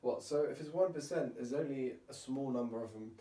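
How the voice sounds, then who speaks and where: A man's voice: mid-range voice, fairly quick, warm tone. A man hosting a podcast in casual chat, chatty and jokey, asking lots of questions. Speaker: podcast host. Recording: roomy, distant mic with faint hiss.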